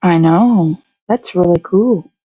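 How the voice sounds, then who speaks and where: A woman's voice: fairly low pitch, takes it slowly, slightly rough, no room sound, lo-fi sound. Blunt, sweary woman in a close, dry recording. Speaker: host of a morning show. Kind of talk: casual chat.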